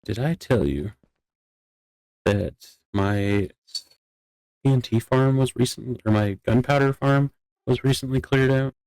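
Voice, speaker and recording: A man's voice: mid-range voice, slow, long pauses, a little mellow, smooth, no echo, rough recording. A man talking casually and teasingly with dry delivery during a gaming roleplay. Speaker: Minecraft roleplay player.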